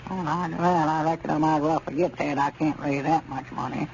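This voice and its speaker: An actor playing a naive, folksy old rural storekeeper with a Southern country accent in a vintage radio comedy. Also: male voice, a little high, fairly even intonation, brisk, creaky, a little mellow. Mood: hesitant, surprised. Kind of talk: 1930s radio comedy acting. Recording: muffled, noisy old radio recording.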